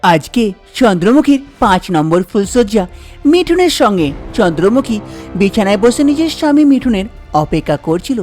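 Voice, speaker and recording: A woman's voice: mid-range voice, sing-song, moderate pace, darkish tone, soft background music. A woman narrating a scripted cartoon story as a storyteller.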